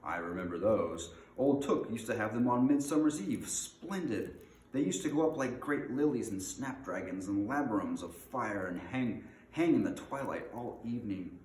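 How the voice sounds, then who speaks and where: Man voicing a fussy hobbit gentleman, very animated. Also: on the low side, normal speed, natural voice. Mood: astonished, excited. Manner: chatty, exclamatory, old-fashioned polite phrasing. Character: fussy, middle-aged male hobbit, polite, easily flustered. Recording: roomy echo, faint background noise.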